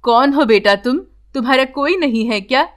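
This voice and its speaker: A woman voicing a kindly, lonely elderly village boatman in a children's story, with a gentle grandfatherly manner. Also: high-pitched, normal speed, close to an everyday voice. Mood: concerned. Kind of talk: children's animated story dialogue.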